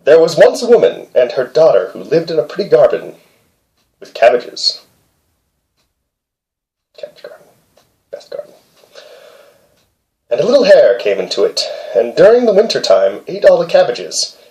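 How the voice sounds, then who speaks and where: A man hosting storytime, reading a fairy tale aloud with playful, deadpan asides. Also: on the high side, moderate pace, long pauses, poor audio. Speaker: host and storyteller.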